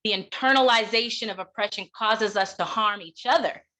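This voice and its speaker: A woman delivering a keynote speech in a deliberate, emphatic manner, breaking phrases with dramatic pauses. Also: mid-range voice, sharp tone, poor audio. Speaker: keynote speaker, counseling clinician.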